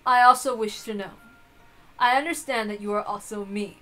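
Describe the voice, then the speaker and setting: A woman's voice: medium pitch, normal speed, bright, forceful tone, soft background music, pitched below the performer's own voice, close to an everyday voice, low-quality recording. A woman voicing a haughty elder-sister witch with an arrogant, formal way of speaking in a visual novel reading. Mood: formal, self-assured. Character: haughty, self-proclaimed elder-sister golden witch, female.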